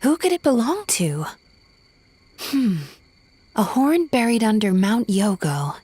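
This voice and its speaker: A woman's voice: on the high side, very animated, takes it slowly, warm tone, husky, no room sound, exaggerated voice. A woman playing a thoughtful, compassionate scholar in a fantasy game, explaining things reflectively. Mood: pensive. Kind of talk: scripted fantasy game dialogue. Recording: music behind the voice.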